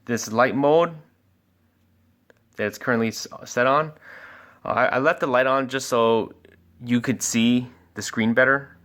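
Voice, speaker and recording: A man with a medium-pitched voice presents a product walkthrough. He explains things step by step in an informal way, like a sales expert showing a customer how a device works. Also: unhurried, long pauses, fairly bright tone. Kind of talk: casual product review and walkthrough.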